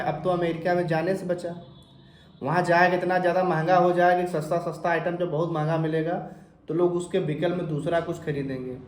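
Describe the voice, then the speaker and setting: A man with a sore throat explaining investing to viewers, often clearing his throat and asking questions he then answers himself. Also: high voice, echoey room. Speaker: finance explainer channel host. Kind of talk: informal explainer talk to camera.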